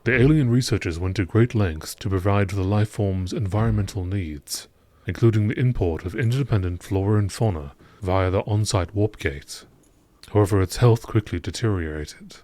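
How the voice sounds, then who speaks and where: A man reading scripted science-fiction lore entries as a narrator, in a measured, formal nature documentary style. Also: fairly low pitch, mellow, no room sound.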